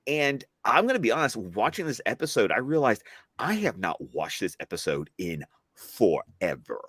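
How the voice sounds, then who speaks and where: A man's voice: lowish voice, normal speed, husky, no room sound, clean studio sound. A man co-hosting a stream, chatting casually, joking and throwing in playful asides. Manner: animated, jokey, playful asides. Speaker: co-host of a watch-along stream. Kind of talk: casual commentary chat.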